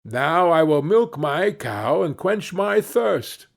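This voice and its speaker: A man's voice: a little high, very animated, slow pace, creaky, voice pushed up, full character voice. A naive young peasant man from a fairy tale, acted by a male narrator, earnest and simple. The voice swoops up and down in exclamations and takes its time over the words. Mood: pleased, hopeful.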